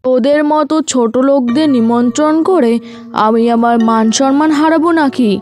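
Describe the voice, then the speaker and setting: Woman voicing a haughty rich boy bird, highish pitch. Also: normal speed, a little mellow, soft background music, natural voice. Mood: scornful. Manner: haughty, scornful, bossy. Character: snobbish rich young male schoolbird, a bully. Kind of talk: animated cartoon voice acting.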